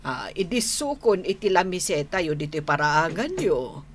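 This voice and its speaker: A genial older neighbour in a radio drama. Her voice sits fairly low and she talks without hurry, pausing as she goes. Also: sing-song. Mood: friendly. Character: genial older neighbour woman, addressed as auntie. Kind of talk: Ilocano radio drama acting.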